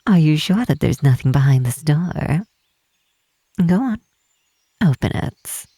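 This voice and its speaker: Woman acting a low, breathy, teasing half-elf mage. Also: big pitch swings, no echo, heavily acted. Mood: playful, inviting. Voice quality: soft, breathy, husky. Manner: unhurried, teasing, formal old-fashioned phrasing. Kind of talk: ASMR fantasy roleplay.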